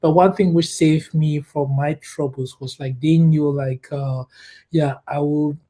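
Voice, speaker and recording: Mellow-voiced man from Cameroon, halting. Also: fairly high pitch, fairly even intonation. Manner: hesitant, many fillers and restarts, laughs often. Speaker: guest. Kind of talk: casual interview storytelling.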